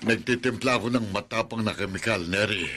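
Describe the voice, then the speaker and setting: A man's voice: on the low side, a little fast, very noisy, natural voice. An actor playing a worried rural father in a radio drama, talking plainly with hesitant fillers.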